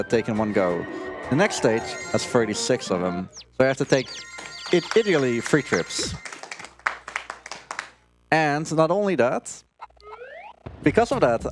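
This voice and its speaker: Male speedrunner, casual live commentary, understated and chatty, clear game music behind. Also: medium pitch, expressive, normal speed, long pauses. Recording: live marathon stream with game audio.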